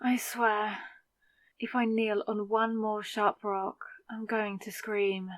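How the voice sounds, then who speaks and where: Woman acting as confused hungover new vampire girl, wary n exasperated, talks slow, dry close audio roleplay. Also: medium pitch, level tone, dry recording, natural voice. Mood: weary, determined. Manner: muttering to herself, exasperated.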